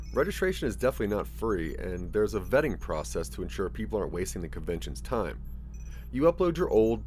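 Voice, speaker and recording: A male narrator with a fairly deep voice reads a scripted branching story. His storytelling is theatrical, with very varied intonation. Faint music plays behind him. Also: darkish tone. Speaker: narrator of an interactive audio drama.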